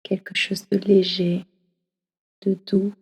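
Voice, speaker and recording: Breathy, mellow woman guiding meditation. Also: mid-range voice, completely flat delivery. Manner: slow, soft, often half-whispered delivery. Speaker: yoga nidra guide. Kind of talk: guided meditation. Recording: long reverb as in a hall.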